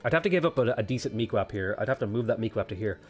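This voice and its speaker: A man's voice: lowish voice, animated, racing, faint music behind. A man with a sniffly cold, casually commentating a strategy game while he thinks aloud, weighing choices with plenty of ums. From Ireland. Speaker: let's play host.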